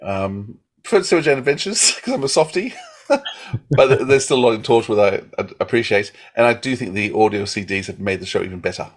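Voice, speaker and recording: A man's voice: lowish voice, lively intonation, fast talker. A man co-hosting a podcast, chatting casually and emphatically, often repeating himself. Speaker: podcast co-host. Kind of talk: casual chat.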